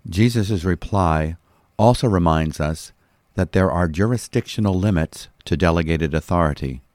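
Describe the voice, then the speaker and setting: Deep-voiced male pastor reading Bible commentary. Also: darkish tone, dry recording. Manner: measured, expository teaching delivery. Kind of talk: scripted devotional Bible commentary, read aloud.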